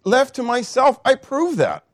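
A man in his fifties preaching a sermon, asking the congregation questions and swinging between loud, emphatic lines and soft asides. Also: very high voice, huge pitch swings, unhurried, no echo, studio quality. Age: 50s.

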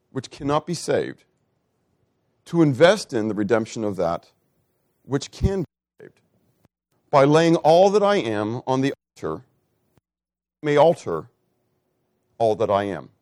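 A man's voice: medium pitch, sing-song, a little slow, long pauses, no echo, clean studio sound. Man in his fifties preaching a sermon. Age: 50s. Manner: rhetorical questions to the congregation, swings loud and soft.

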